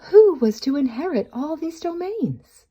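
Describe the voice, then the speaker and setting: A woman narrating a storybook tale for a puppet show in a theatrical, expressive way, savoring the words. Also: high-pitched, measured pace.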